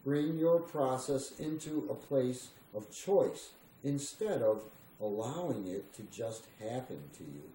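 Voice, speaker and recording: A man's voice: mid-range voice, lively intonation, takes it slowly, hardly any pauses, dark tone. A man reading a spiritual text aloud in a meditative, scripted style. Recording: roomy echo, faint background noise.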